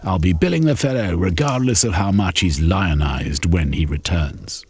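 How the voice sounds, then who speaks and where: A man voicing a vain, touchy gentleman at a club dinner, pompous and petulant. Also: low-pitched, lively intonation, moderate pace, slightly rough, full character voice.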